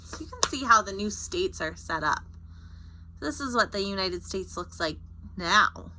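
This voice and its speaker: A woman's voice: mid-range voice, unhurried, slight background noise, low-quality recording. A woman reading a picture book aloud as a storyteller, expressively and with informal asides. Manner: expressive, acting out short character quotes.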